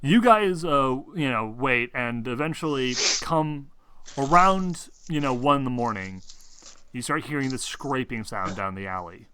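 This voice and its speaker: Man, game master, bright forceful voice, medium pitch, casual improvised narration, teasing, casually profane. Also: expressive, unhurried, clean tone.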